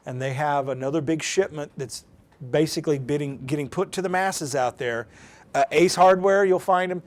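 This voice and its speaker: Higher-pitched American man, folksy and conversational. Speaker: presenter of a weather radio programming demonstration. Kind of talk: informal live demonstration, explaining off the cuff.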